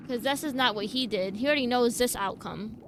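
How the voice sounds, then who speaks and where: A woman's voice: on the high side, brisk, a bit bright, slight background noise. A woman streaming a game, reacting casually in informal, slangy speech. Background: game music.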